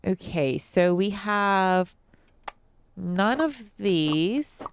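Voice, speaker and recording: Slow-talking stuffy-nosed woman gamer. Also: mid-range voice, animated, slight background noise. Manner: chatty, addresses viewers as guys, frequent ums and restarts. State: slightly stuffy nose. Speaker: gaming channel host. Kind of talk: casual let's-play commentary.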